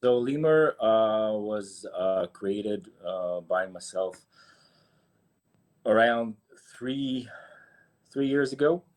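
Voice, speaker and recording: A man's voice: fairly low pitch, lively intonation, extremely slow, long pauses, darkish tone. A man from Israel with an Israeli accent, speaking English as a guest in a casual interview, hesitant with many restarts. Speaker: guest, agency founder.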